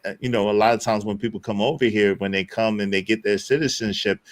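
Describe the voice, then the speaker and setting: A man hosting a casual online talk stream, his voice sitting low and recorded dry and close. He talks haltingly, full of fillers, then jumps up in pitch to stress a point. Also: fairly bright tone, no room sound. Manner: hesitant, many fillers, quick rising emphasis. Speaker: host.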